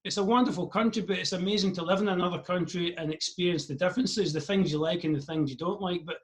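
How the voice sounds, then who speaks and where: A man's voice: high-pitched, quick pace. A Scottish man from Scotland interviewing a guest over a video call, asking friendly, rambling questions. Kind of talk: remote interview.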